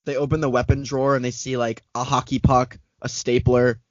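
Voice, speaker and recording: A man's voice: medium pitch, little pitch movement, no room sound. Young man, casual podcast chat, jokes and laughs, dull lo-fi, dry room. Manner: joking, laughing, quick riffs.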